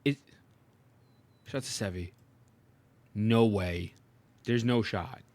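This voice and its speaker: A man's voice: lowish voice, takes it slowly, darkish tone, no room sound. A young man doing casual, slangy reaction commentary as a gaming streamer, often repeating short exclamations.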